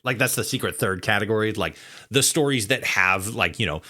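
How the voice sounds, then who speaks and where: A man hosting a casual interview podcast, talking in a halting, rambling way peppered with filler words. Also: lowish voice, animated, bright, forceful tone. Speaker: podcast host interviewing a guest.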